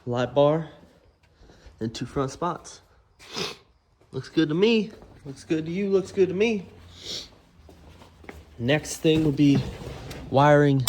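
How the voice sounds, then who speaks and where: An easygoing man talks through a hands-on DIY build in a casual, unscripted vlog style. His voice sits at an average male pitch and his delivery is offhand. There is noticeable ambient noise behind him. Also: darkish tone. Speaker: vlogger showing a DIY car build. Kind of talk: casual unscripted show-and-tell. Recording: clear background noise and ambience.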